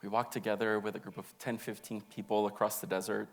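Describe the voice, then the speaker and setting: Gravelly low-voiced young man telling his story. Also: level tone, fast, faint music behind. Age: young adult. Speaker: monologist. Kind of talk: autobiographical monologue read aloud on stage.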